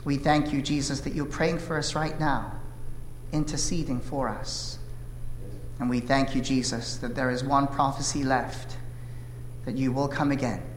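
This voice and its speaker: A man in his thirties from South Korea, whose first language is Korean, preaching a sermon with an earnest, measured delivery and frequent "uh" fillers. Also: mid-range voice, fairly even intonation. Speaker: pastor. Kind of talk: sermon with opening prayer. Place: church sanctuary. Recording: echoey hall reverb, faint room noise.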